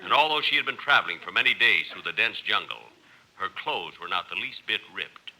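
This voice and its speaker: A man's voice: lowish voice, expressive, brisk, sharp tone, full character voice, rough recording. An actor playing an earnest adventurer narrating his own jungle tale in 1950s radio comedy style. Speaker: storyteller. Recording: old radio recording, faint noise.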